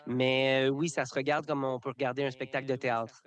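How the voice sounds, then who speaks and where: A man's voice: mid-range voice, fairly quick. Man, chatty circus artist. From Canada. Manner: relaxed, repeats words, many fillers. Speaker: circus performer. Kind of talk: radio interview, casual.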